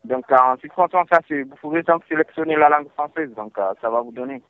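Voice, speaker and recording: A man's voice: medium pitch, fast talker, dry recording. A man working as a customer support agent, talking on the telephone in a polite, repetitive, reassuring way. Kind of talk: customer service phone call. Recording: narrow tinny phone line, dry.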